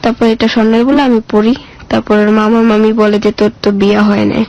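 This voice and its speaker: A woman's voice: on the high side, flat delivery, a little fast, darkish tone, dull audio. A woman with a colloquial Bangladeshi Bengali accent tells her life story as a guest, plain-spoken and casual, acting out remembered dialogue as she goes. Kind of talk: personal storytelling in casual speech.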